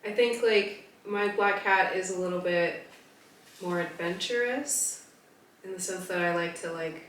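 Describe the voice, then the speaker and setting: A woman co-hosting a podcast in casual chat, her voice still deep from a cold, hesitant and giggly. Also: a little slow, a bit bright, recorded from a distance. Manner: hesitant, many restarts, giggly. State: recovering from a cold, voice deeper. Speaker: podcast co-host. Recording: echoey room, voice a bit off-mic, faint noise.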